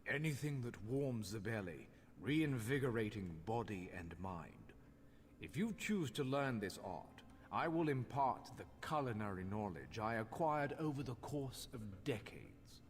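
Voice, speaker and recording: An actor voicing a haughty, scholarly master craftsman in a fantasy video game, speaking in a formal, measured, instructional way. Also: mid-range voice, very animated, slight background noise. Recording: long hall reverb, faint music behind.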